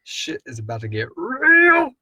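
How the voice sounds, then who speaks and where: A man's voice: very high-pitched, huge pitch swings, a little slow, pushed and bright, dry recording. A man co-hosting a live podcast Q&A in an informal, rambling, jokey way, casually reading out and answering listener questions. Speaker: co-host and producer of an audio drama podcast.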